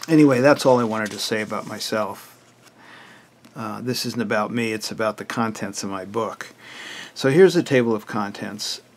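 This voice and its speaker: An older man with a hoarse, raspy voice who often clears his throat, walking plainly through a book he wrote. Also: lowish voice, moderate pace, long pauses. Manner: plain, matter-of-fact, list-like explanation. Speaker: author presenting his book. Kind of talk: casual book walkthrough.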